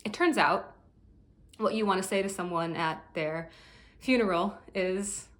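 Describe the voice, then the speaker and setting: An actress plays a young woman grieving her best friend in a funeral speech. She is reflective and tender, speaking haltingly at a medium pitch in a stage monologue style. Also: a bit bright, natural voice. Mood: subdued grief.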